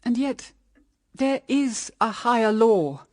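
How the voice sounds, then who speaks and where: Older matriarch, period radio drama. Wise, formal, measured delivery with lively rise and fall. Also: female voice, on the high side. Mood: earnest pleading. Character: gentle, wise, dignified older mother of grown children.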